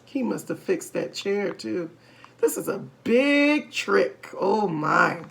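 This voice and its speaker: A woman reading a children's story aloud in an animated, emphatic way, speaking directly to her listeners. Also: medium pitch, slow pace, vocal fry. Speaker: storybook reader. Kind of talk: expressive children's read-aloud narration.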